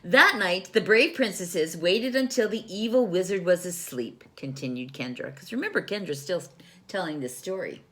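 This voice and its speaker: A woman reading a children's story aloud in a teacherly manner, pausing to put questions to young listeners and explain things. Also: on the low side, animated, fairly bright tone.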